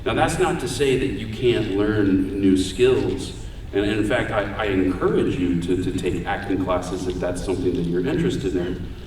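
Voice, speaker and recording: A deep-voiced man talks to a convention crowd in an easy, joking way. His voice sits low, and it rings through a big echoey hall. He sounds set back from the microphone. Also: moderate pace, darkish tone, slight background noise. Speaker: voice actor giving a panel. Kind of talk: convention panel talk. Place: large convention hall room. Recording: echoey hall, voice far from mic.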